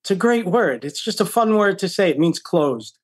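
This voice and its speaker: A man's voice: high-pitched, lively intonation, normal speed, husky, dry recording, clean studio sound. An older American man chatting casually as an interview guest and telling stories from his own experience. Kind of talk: casual storytelling chat.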